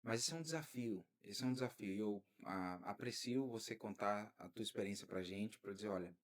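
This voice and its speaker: A man hosting a podcast interview, talking in a lively way and drifting into preacherly asides. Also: fairly low pitch, fairly quick. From Brazil. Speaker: podcast host. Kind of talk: casual interview.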